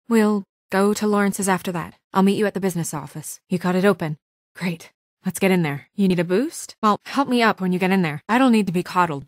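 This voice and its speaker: A woman playing a composed but anxious, dutiful young office administrator in a naturalistic video game drama, speaking in a measured, guarded, earnest way. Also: mid-range voice, no room sound, studio quality, lightly acted. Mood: businesslike, uneasy.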